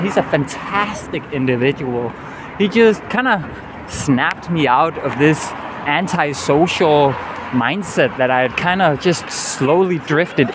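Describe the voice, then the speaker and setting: A man with a Danish accent, a native Danish speaker, talking earnestly and rambling in a casual travel vlog, often adding "you know". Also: on the high side. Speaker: travel vlogger. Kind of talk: casual walking vlog monologue. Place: outdoors on a city street. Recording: clear street traffic noise. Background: city traffic and vehicles.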